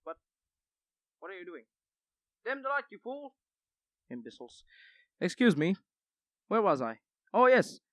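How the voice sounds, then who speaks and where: Young man as high-voiced sinister radio horror host. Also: sing-song, measured pace, long pauses, dry recording. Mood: irritated. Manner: pompous, dramatic, snapping at times. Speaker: announcer introducing a radio thriller. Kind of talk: theatrical radio drama introduction.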